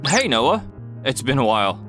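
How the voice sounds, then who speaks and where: A man's voice: mid-range voice, very animated, moderate pace, fairly bright tone, soft background music. A man voicing a mild, reasonable young company founder in a dubbed comic drama. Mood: upbeat, earnest.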